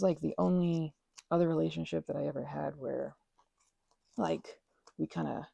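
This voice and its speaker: A woman in her thirties chatting in a soft, rambling way, full of fillers and self-corrections, as an ASMR host. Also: low voice, creaky, slightly rough, dry recording. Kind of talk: rambling personal chat. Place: quiet room at a craft table.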